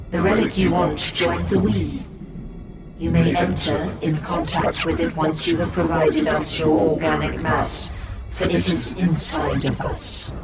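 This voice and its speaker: A man voicing a monstrous collective being that calls itself "we" and talks with cold, formal courtesy. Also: fairly high pitch. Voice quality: gravelly, speaks as "we". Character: monstrous collective male abomination, coldly polite. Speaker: game villain. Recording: echoey, distant, loud score behind.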